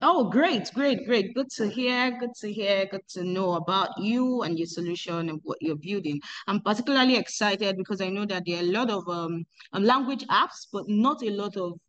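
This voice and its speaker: A woman's voice: mid-range voice, lively intonation, normal speed, few pauses, a bit bright, muffled recording. A woman hosting an interview podcast, asking questions hesitantly with many "um"s and repeated words. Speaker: podcast host.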